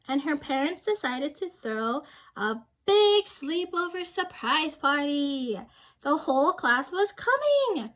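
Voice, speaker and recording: A young woman reading a picture book aloud to children, pausing to ask them questions and explain things simply. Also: high voice, fairly bright tone. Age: young adult. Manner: simple explanatory asides, prompting young listeners.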